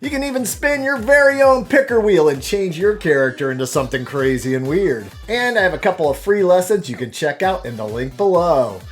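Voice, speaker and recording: Man, drawing lesson host, casual, chatty, playful thinking aloud, prominent music bed. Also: fairly high pitch. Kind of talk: casual step-by-step drawing tutorial. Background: background music.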